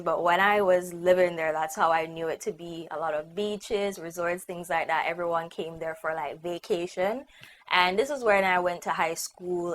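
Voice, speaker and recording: A woman's voice: on the low side, slight background noise. Upbeat young Jamaican-accented woman vlogger. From Guyana, raised in Jamaica. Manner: chatty, upbeat, many "like" fillers. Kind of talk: casual personal vlog.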